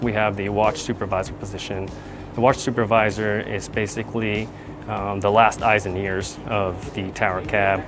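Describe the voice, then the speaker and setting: A man narrating an explanatory tour as an air traffic controller, in a measured, semi-scripted way. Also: lowish voice.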